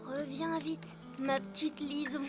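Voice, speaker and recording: A performer voicing an eager, tender-hearted young animal boy in a French children's cartoon, with childlike, excitable delivery that turns hesitant when unsure. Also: high voice, unhurried. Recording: loud music behind. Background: loud cartoon music.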